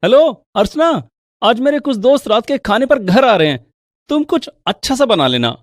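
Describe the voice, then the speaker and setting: A man's voice: very high-pitched, sing-song, moderate pace, no echo, clean studio sound. A man playing the husband in a squabbling household, in scripted family-story dialogue. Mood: cheerful.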